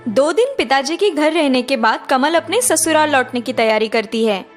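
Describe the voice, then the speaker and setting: High-voiced woman narrating a story. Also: expressive, normal speed, soft background music. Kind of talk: scripted cartoon story narration.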